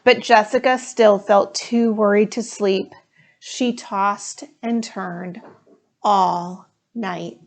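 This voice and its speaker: A woman's voice: medium pitch, fairly even intonation, takes it slowly. A woman reading a children's picture book aloud as a storyteller, acting out the dialogue expressively. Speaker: storytime reader, firefighter.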